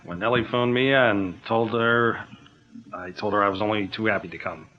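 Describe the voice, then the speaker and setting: A polite, soft-spoken gentleman with a fairly low voice, played by a man. He answers courteously and keeps his tone low-key. The sound is muffled and lo-fi. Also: moderate pace, natural voice. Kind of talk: 1940s radio drama acting. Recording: dull lo-fi old radio recording.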